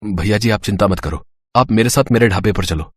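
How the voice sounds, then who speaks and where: A man's voice: on the low side, brisk, husky, dry recording. Poor, kind-hearted eatery owner for a cartoon moral tale, male actor; earnest, plaintive, dry recording. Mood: humble, kind. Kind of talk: dramatised moral story acting.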